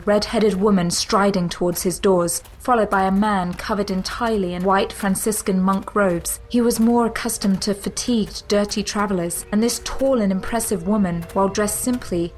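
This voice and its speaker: A woman narrator reading scripted dramatic storytelling in a formal, measured, literary manner. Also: mid-range voice, a little mellow, rough recording. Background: music.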